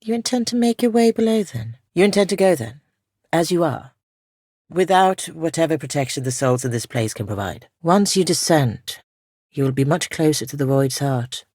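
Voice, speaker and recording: A woman playing a solemn, ancient female spirit guardian in a fantasy game, speaking softly and formally with measured gravity. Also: deep voice, animated, no echo, clean studio sound. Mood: grave, foreboding. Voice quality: soft, gravelly. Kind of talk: scripted fantasy game dialogue.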